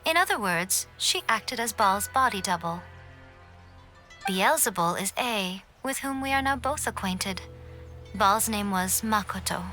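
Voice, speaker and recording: A woman voicing a knowing, courteous divine fox familiar in an anime video game, speaking in a measured, formal, gently wry manner. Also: medium pitch, expressive, faint music behind. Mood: solemn, reflective.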